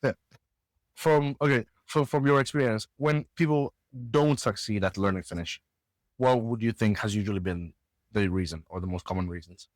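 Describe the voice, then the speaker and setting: A man's voice: lowish voice, moderate pace, dry recording. A man hosting a podcast, chatting casually and agreeably with many short affirmations. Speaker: podcast host. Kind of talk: casual interview chat.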